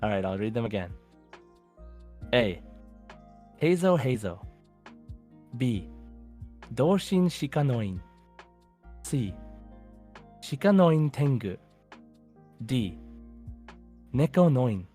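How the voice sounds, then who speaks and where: A man hosts a casual quiz podcast in a conversational voice of medium pitch. He plays quizmaster and speaks deliberately when reading things out. Faint music plays behind him. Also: big pitch swings, mellow. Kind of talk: casual hosting of a quiz show.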